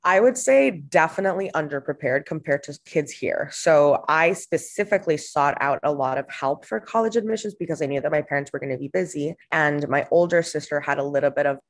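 A woman's voice: low voice, fairly quick, hardly any pauses. A young woman from Honduras, a podcast guest talking casually with frequent fillers and restarts. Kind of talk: casual interview answers.

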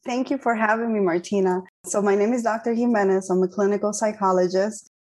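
Mellow-voiced woman explaining thoughtfully. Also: mid-range voice, steady tone, fairly quick. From Dominican Republic. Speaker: guest clinical psychologist. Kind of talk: interview answers.

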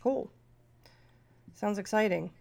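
A woman's voice: medium pitch, takes it slowly, gravelly, a little mellow. A middle-aged woman hosting a podcast, talking casually, nasal and stuffy from a cold, rambling with many fillers. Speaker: podcast host.

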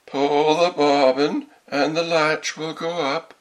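A man voicing a sly, hungry storybook wolf who softens his voice to coax and deceive. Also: a little high, fairly even intonation, pitched above the performer's own voice, poor audio. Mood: falsely sweet. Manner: coaxing, drawn-out, put-on softened voice. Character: sly hungry male wolf posing as sweet grandmother.

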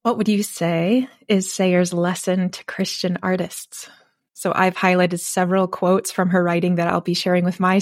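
A woman interviewer putting a prepared question to a guest in an orderly, measured phrasing. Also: on the low side, a little mellow, no echo, clean studio sound. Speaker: interviewer, teacher.

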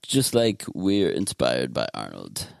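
A man's voice: a bass voice, wildly swooping pitch, slow pace, vocal fry. A man hosting a podcast in casual chat, talking in a laid-back, meandering way. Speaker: podcast host.

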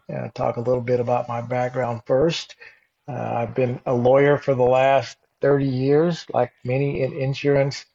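Texan guy in his fifties giving a talk, mellow dark voice, kinda dull lo-fi recording, lots of uhs. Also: mid-range voice, husky. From Texas. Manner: conversational with frequent "uh" fillers and restarts. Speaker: guest speaker, insurance executive.

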